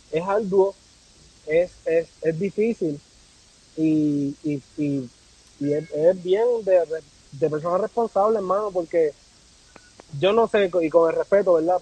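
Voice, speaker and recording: High-voiced husky Puerto Rican man, reflective. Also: warm tone, poor audio. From Puerto Rico. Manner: reflective, philosophical, repeats phrases for emphasis. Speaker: podcast guest, travel content creator. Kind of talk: casual conversational interview.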